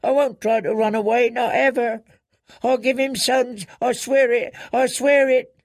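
Man voicing a high, whimpering, terrified young girl. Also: steady tone, creaky, no room sound, clean studio sound, full character voice. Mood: frightened pleading. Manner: whimpering, halting, stammering repetitions. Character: terrified, broken captive young girl, pleading and tearful. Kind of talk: dramatic audiobook character reading.

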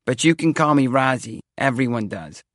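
A man voicing a brisk, direct middle-aged woman with a Brooklyn accent, frank and familiar, in an audiobook. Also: medium pitch, lively intonation, fairly bright tone, dry recording, studio quality, voice pushed up, natural voice. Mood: friendly. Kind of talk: audiobook character acting.

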